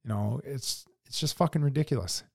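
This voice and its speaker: A blunt American man holding forth in casual podcast talk, cursing freely and tripping over his own restarts. His voice sits at an ordinary middle pitch. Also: brisk, gravelly, husky. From United States. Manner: blunt, heavy swearing, many restarts. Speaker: podcast co-host. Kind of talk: casual opinion chat.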